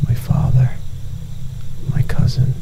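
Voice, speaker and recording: A man reading horror narration slowly and dramatically, in a gravelly, hushed, brooding voice that often drops to a breathy near-whisper. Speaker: narrator of a horror comic dub. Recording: muffled lo-fi recording, faint noise.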